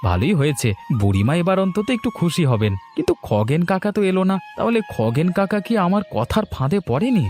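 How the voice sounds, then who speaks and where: Man acting village cowherd boy, fairly high voice, kind, earnest, cartoon dialogue, soft music behind. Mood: musing. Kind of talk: cartoon story dubbing.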